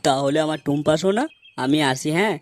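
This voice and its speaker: A man's voice: on the high side, fast talker, a little hiss or hum, lightly acted. A man voicing a blunt, brash village man in a crude comedy cartoon, speaking colloquially. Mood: eager. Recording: music laid under the voice.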